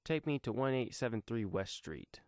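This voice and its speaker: A man's voice: medium pitch, sing-song, moderate pace, dry recording, studio quality. A man voicing a stubborn young male vigilante in a superhero audio story, matter-of-fact and resolute.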